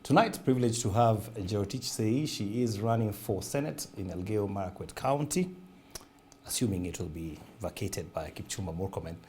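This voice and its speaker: A man's voice: on the low side, expressive, moderate pace, a little mellow, slight background noise. A man hosting an interview, asking brief, plain questions. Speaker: interview host.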